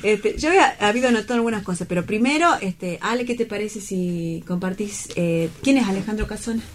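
A woman's voice: medium pitch, a little fast, slight background noise. A woman with an Argentine accent hosts a casual round-table talk, speaking reflectively and often checking for agreement with little tag questions. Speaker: host of a round-table radio program.

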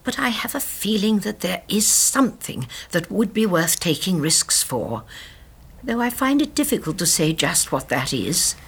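Radio drama: elderly English spinster sleuth, very husky and breathy, lilting, gentle but shrewd. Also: female voice, fairly low pitch, sing-song, moderate pace, exaggerated voice. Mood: gentle musing. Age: older. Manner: hesitant, genteel, musing.

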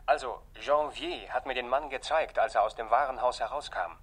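A man's voice: medium pitch, normal speed, slightly rough, natural voice. An actor playing a dutiful police detective in a 1960s German radio play, reporting factually and deferentially to his chief.

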